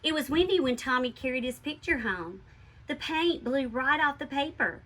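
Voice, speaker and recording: A woman reading a children's picture book aloud like a schoolteacher, expressively and with deliberate, emphatic stresses. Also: high voice, expressive, a bit bright.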